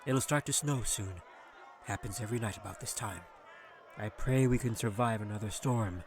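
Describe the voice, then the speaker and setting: A man's voice: fairly low pitch, normal speed, background noise, close to an everyday voice. Man voicing a kindly, weary fantasy dwarf. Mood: weary, earnest. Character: red-bearded male dwarf, kindly, weary, devout. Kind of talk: fantasy audiobook character voice.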